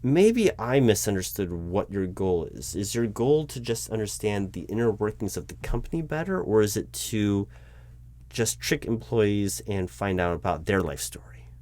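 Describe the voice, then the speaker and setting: A man playing an exasperated corporate hiring manager in a one-sided interview roleplay, talking in a rambling, halting way with stammers and self-corrections. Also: on the low side, lively intonation, darkish tone, natural voice. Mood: flustered, explaining. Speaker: roleplay performer. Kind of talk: improvised one-sided job interview roleplay, spoken aloud.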